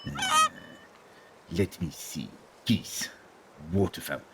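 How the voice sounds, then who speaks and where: A man's voice: low voice, wildly swooping pitch, takes it slowly, very noisy, full character voice. A man playing a pompous, bloodthirsty alien warrior servant in an audio drama, literal-minded, barking and scornful of humans. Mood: pompous bemusement.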